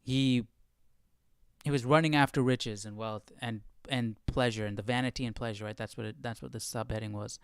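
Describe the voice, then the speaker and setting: A man's voice: mid-range voice, fairly even intonation, moderate pace, clean tone, no room sound, studio quality. A man hosting a livestream study, reading and commenting aloud in a casual, conversational way, often checking in with the listener. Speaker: host of a livestream Bible study.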